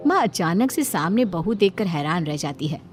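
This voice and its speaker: A woman giving scripted narration for an animated moral bedtime story. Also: on the low side, normal speed. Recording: music behind the voice. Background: background music.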